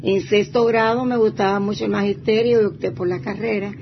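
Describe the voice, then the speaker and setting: A woman's voice: mid-range voice, steady tone, brisk. Older eastern Cuban woman, muffled recording. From Santiago de Cuba province, Cuba. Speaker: retired primary school teacher, interviewee. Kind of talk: personal testimony. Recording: muffled, faint background noise.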